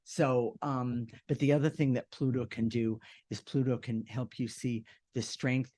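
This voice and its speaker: A man with a deep voice talking casually and earnestly, with emphasis, as co-host of an unscripted discussion show. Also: little pitch movement, a little slow, mellow.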